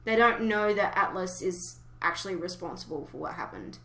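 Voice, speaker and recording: A woman talks casually in a bright, forward voice, offering speculative opinions with emphasis. The recording is roomy and echoey, like a podcast made in a reverberant room. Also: fairly low pitch, normal speed, slight background noise. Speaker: podcast co-host. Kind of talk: casual fan discussion.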